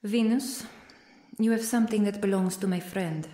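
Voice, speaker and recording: A woman's voice: medium pitch, moderate pace, warm tone, reverberant, close to an everyday voice. A woman playing a stern female vampire, cold, formal and disdainful, in an ASMR roleplay. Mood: cold scorn. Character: stern, scornful female vampire, loyal servant of a countess. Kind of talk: ASMR roleplay audio drama.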